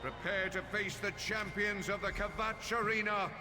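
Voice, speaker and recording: An actor voicing a grand, rousing arena announcer in a video game, proclaiming in a booming manner. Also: male voice, unhurried. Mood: triumphant, rousing. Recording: long hall reverb, music behind.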